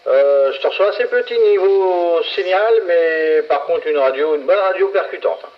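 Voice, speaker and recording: A man's voice: a little high, moderate pace. A man chatting casually as a CB operator, hesitating and repeating himself. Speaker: CB radio operator. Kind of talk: casual chat. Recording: muffled CB radio transmission.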